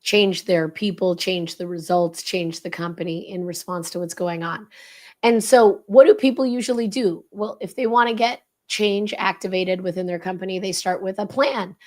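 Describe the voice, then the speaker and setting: Hoarse woman explaining a leadership framework. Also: mid-range voice, moderate pace. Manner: earnest, explanatory, steady flow. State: hoarse, voice crackly. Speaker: author and guest.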